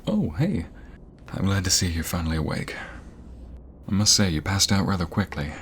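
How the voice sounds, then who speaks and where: A man's voice: low voice, animated, normal speed, slight background noise. A man acting in an intimate audio roleplay as a male firefighter, an obsessive captor who poses as a caring rescuer and talks in a coaxing, insistent way. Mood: reassuring, friendly. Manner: coaxing, softly insistent, hesitant stammers.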